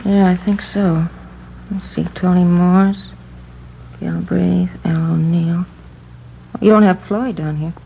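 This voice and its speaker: Female market clerk: casual, distracted, lowish voice on a muffled vintage radio recording. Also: fairly even intonation, gravelly. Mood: casual, recalling. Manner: casual, halting, distracted between customers. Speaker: market counter clerk being questioned. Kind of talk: 1950s radio drama, naturalistic. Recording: dull, faintly noisy old radio recording.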